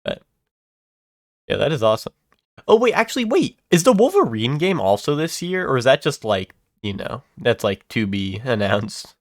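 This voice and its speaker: A man's voice: mid-range voice, big pitch swings, normal speed. A young man streaming a game and chatting casually, congested from a cold, rambling with lots of fillers and restarts and casual swearing. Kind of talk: casual chat while gaming.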